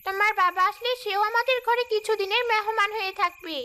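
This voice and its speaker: Woman as an extremely high-pitched, earnest cartoon mother. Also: steady tone, brisk, background noise. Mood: grateful, hospitable. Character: trusting, anxious village mother. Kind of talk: cartoon story dubbing. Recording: music bed under the dialogue.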